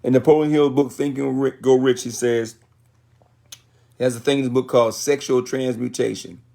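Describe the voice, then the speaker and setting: A man's voice: mid-range voice, steady tone, normal speed, creaky, mellow. An American man in his sixties giving a motivational livestream talk in a folksy, colloquial way, repeating phrases for emphasis. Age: 60s. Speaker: motivational business speaker.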